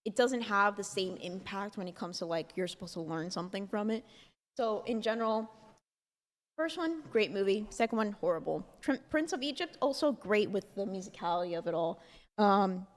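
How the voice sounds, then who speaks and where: A woman's voice: mid-range voice, animated, moderate pace. A woman hosting a casual talk show, rambling along with many "like"s and "um"s. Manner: rambling, filler-heavy, lots of "like" and "um". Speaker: podcast host. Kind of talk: casual chat. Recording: long hall reverb.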